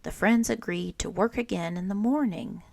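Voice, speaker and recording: A woman teacher reading a children's picture book aloud, with expressive storytelling and little asides to the listener. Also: mid-range voice, moderate pace, gravelly, no room sound.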